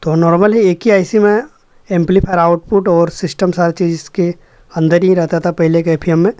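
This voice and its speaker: A man's voice: high voice, little pitch movement, normal speed, a little mellow, clear, resonant tone, dry recording. Man, young adult, repair vlogger, explanatory and repetitive, dry recording. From Jharkhand, India. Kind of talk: casual hands-on demonstration narration.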